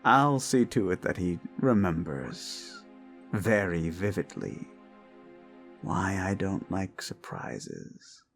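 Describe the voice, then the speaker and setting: A paranoid yandere prince, voiced by a man, in an audio drama, with a very deep, slow, gravelly delivery. He coaxes and threatens in the same quiet breath, slipping into whispers. Faint music plays behind him. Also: big pitch swings, full character voice. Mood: menacing. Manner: soft-spoken menace, sudden unsettling laughter, whispered asides. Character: unhinged paranoid possessive young prince, menacing.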